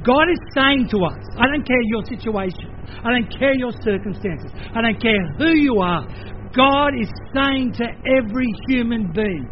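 High-voiced older Australian man preaching. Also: normal speed, highs cut off, a little hiss or hum. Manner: animated, conversational, playful asides. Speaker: pastor. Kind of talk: sermon.

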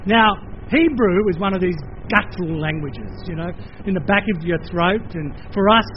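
An older man with an Australian accent, preaching a sermon in a lively conversational way, with playful asides. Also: high-pitched, moderate pace, highs cut off, a little hiss or hum. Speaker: pastor.

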